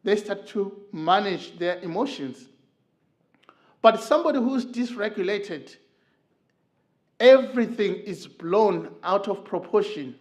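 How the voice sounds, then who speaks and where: Higher-voiced man from Zimbabwe, earnest. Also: expressive, a little mellow. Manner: earnest, storytelling, frequent filler pauses. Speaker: church speaker. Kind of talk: talk on men's mental health. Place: church hall.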